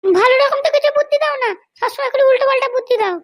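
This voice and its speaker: Man as a squeaky, bossy cartoon madam. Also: rapid-fire, a bit bright, no echo, clean studio sound. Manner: boastful, commanding. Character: bossy, scheming woman politician, cartoon madam. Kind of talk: amateur comedy cartoon dialogue.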